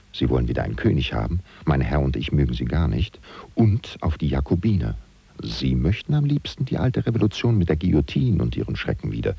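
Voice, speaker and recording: An actor playing a wry, gossiping French valet who confides ironically in the listener as narrator of a German radio play. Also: male voice, rumbling low, creaky, dark tone, slightly rough, no room sound, heavily acted. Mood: wry, bewildered. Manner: confiding, ironic, rhetorical questions.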